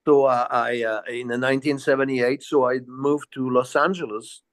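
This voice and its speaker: A man's voice: medium pitch, steady tone, moderate pace. An older man with a French accent speaks as a musician being interviewed. He reminisces casually and loops back over his points, scattering filler phrases as he rambles along. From south of France. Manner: rambling, repetitive, peppered with "you know". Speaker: interview guest, jazz bassist.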